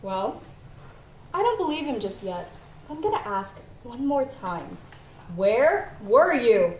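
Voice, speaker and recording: A woman's voice: fairly high pitch, sing-song, slow pace. A woman acting a bossy, suspicious restaurant boss in an amateur stage play, questioning people sharply and giving commands. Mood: cold, commanding. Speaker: actor in a one-act play. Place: indoor stage. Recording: echoey room with noise.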